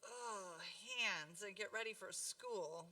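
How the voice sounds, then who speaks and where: A woman's voice: mid-range voice, slow, sharp tone, far from the mic. An actress playing a fussing mother of two young boys in a radio play, motherly and cautioning. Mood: attentive.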